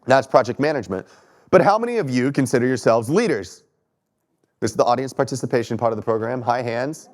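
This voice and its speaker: A man's voice: medium pitch, expressive, fast. A man in his thirties from Texas with a Texan accent, sounding stuffy from allergies, giving a conversational, self-deprecating conference talk from a stage. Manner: conversational, self-deprecating, asks audience questions. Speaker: keynote speaker. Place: stage in a lecture hall.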